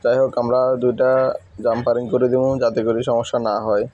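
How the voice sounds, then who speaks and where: Flat-toned Bangladeshi man explaining repairs. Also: medium pitch, fast talker, dry recording, lo-fi sound. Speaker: phone repair technician giving a tutorial. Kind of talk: informal step-by-step tutorial narration.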